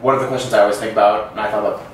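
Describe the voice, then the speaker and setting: A man's voice: lowish voice, flat delivery, racing. A young man from Chicago, a cruise ship performer, chatting in a friendly, easygoing way in a living room. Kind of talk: casual group chat. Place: dim living room, indoors. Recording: roomy, distant camera audio, hiss.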